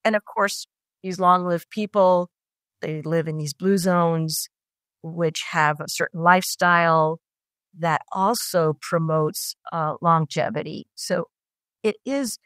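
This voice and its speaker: Middle-aged woman scientist, halting delivery, lower voice, dry recording. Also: fairly bright tone, no room sound. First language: Italian. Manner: hesitant, many fillers and restarts. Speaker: scientist guest. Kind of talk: explanatory interview answers.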